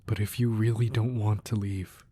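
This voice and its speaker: Man acting a low, breathy crime boss husband. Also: little pitch movement, natural voice. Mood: tender, pleading. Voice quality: soft, breathy, hushed voice. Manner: slow, deliberate, tender murmuring with pauses. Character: protective, romantic crime boss husband, tender yet menacing. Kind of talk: intimate ASMR roleplay acting.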